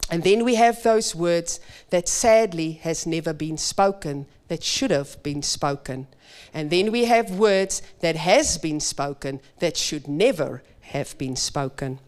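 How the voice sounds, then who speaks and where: Slow, clear-voiced woman preaching. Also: fairly low pitch. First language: Afrikaans. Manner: earnest, measured, emphatic delivery. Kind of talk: sermon. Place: church.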